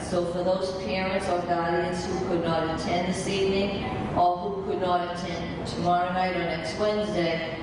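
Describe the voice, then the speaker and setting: A woman school official giving earnest, reassuring welcome remarks at a public meeting, with some fillers. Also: on the low side. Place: large public meeting room. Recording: echoey hall, distant mic, hiss.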